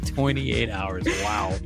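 A man's voice: lowish voice, drawn-out words, vocal fry. A man reading an encyclopedia article aloud as a podcast co-host, in scripted narration with the odd casual aside. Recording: music behind the voice. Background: instrumental music.

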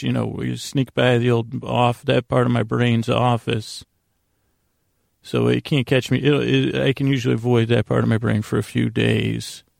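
A man with a gravelly, creaky voice rambling through a comedic monologue as a podcast host, halting, meandering and self-deprecating. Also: mid-range voice, no echo, studio quality.

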